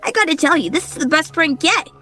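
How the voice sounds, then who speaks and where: A woman's voice: high voice, lively intonation, fast, sharp tone, faint music behind. A woman playing a wary, plain-spoken young female cartoon pegasus pony in a dramatized horror story reading. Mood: nervous relief. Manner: casual, forthright, pleading.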